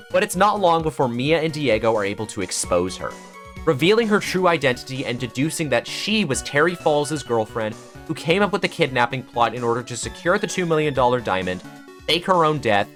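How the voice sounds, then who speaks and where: A man's voice: medium pitch, fairly bright tone. A man delivering scripted, analytical video essay narration in an earnest manner with dramatic emphasis.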